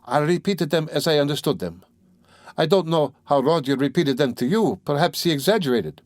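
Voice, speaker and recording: A man's voice: on the high side, expressive, a little fast, a little mellow, no echo, clean studio sound, pitched above the performer's own voice, close to an everyday voice. A man reading aloud, voicing an older mother who speaks hesitantly but with dignity. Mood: timid dignity.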